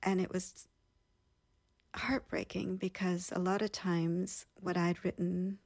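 A woman's voice: on the low side, flat delivery, takes it slowly, a little mellow, dry recording, clean studio sound. A woman in her thirties, earnestly and reflectively telling her own story as a guest in an interview. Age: 30s.

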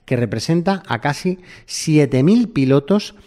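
A man's voice: on the high side, lively intonation, measured pace, dark tone. Jokey Spanish man hosting radio. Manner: jokey, laughs often, teasing. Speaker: radio travel show host. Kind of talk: lively radio chat.

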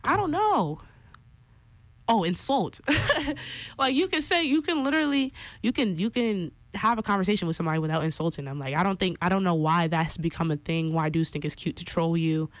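A woman's voice: lowish voice, a little fast, dry recording. Chatty young woman radio host, dry sound. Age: 20s. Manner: animated, chatty, opinionated, lots of fillers. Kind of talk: casual radio talk segment.

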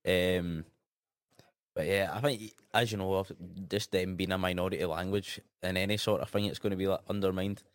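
A young Scottish man with a Gaelic background, co-hosting a casual radio chat in a relaxed, easygoing way. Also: sits low, brisk, dry recording. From Scotland. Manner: relaxed, laid-back, often tags sentences with "man". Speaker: radio co-host.

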